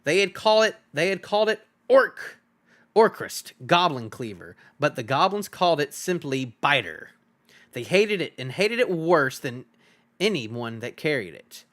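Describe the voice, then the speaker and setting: A man's voice: a little high, big pitch swings, unhurried, a bit bright. A man reading a fantasy novel aloud as a streamer, in dramatic storybook narration.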